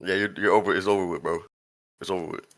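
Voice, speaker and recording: Lowish-voiced male developer hosting a chat Q&A, loose and slangy. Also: steady tone, fast, fairly bright tone. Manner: slangy, laughs often, says bro a lot. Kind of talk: casual voice-chat Q&A.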